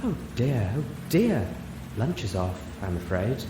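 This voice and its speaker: A man's voice: medium pitch, big pitch swings, unhurried, reverberant, background noise, voice pushed up. A man performs a storybook unicorn lady in a somewhat lifted voice. She is prim and genteel, fussing and fretting as she speaks. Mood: worried, desperate. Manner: genteel, fussy. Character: prim, fretful ladylike female unicorn pony.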